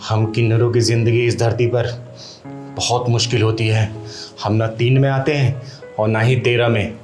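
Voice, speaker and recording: An actor playing an aggrieved, wise transgender elder who pleads and preaches earnestly, in a melodramatic web drama. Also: male voice, medium pitch, little pitch movement, moderate pace, a little mellow, lightly acted. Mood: grieving. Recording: roomy echo with faint music.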